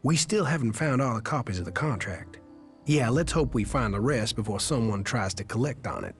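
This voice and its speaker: Man acting a weary-minded ex-gang outlaw, medium male pitch, wary, rueful, music underneath. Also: lively intonation, a little fast, lightly acted. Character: wary former gang outlaw, troubled and fatalistic. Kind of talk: video game character dialogue.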